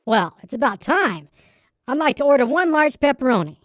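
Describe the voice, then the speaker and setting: A woman's voice: high voice, very animated, moderate pace, no echo. A woman voicing an impatient young male customer who grows exasperated while ordering pizza in a children's comedy skit. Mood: curt. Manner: exasperated, snappish.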